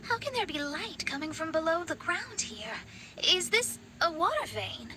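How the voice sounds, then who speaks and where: A thoughtful young companion speaks in a very high, lively voice, asking careful, measured questions. Loud background noise runs under the game audio. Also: female voice. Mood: curious. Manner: measured and inquisitive. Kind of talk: video game dialogue.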